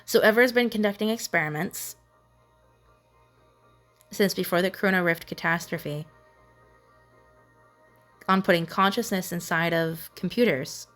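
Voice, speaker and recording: A woman's voice: lowish voice, measured pace, long pauses, fairly bright tone, faint music behind. A woman giving casual gameplay commentary, thinking aloud to herself in a curious, musing way. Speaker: gamer narrating own playthrough.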